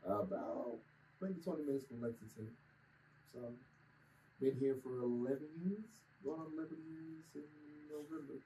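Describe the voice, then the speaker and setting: A man from Kentucky, a keyboard-playing musician, telling animated stories in a casual interview. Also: on the high side, normal speed, recorded from a distance, slight background noise, rough recording. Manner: animated storyteller, lively quoted voices.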